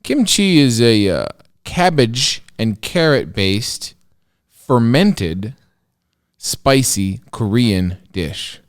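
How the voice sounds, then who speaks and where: A man co-hosting a podcast, with a mid-pitched voice. He rambles enthusiastically through food explanations and teases his partner. The recording is dry and close, with no room sound. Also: big pitch swings, very slow, dry recording. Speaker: podcast co-host. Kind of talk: casual banter.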